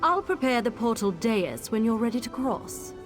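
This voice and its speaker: An actress playing a haughty, impatient scholar mage in a video game, curt, formal and condescending. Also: female voice, fairly high pitch, big pitch swings, normal speed. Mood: formal, instructive. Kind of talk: video game character dialogue. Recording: game music behind.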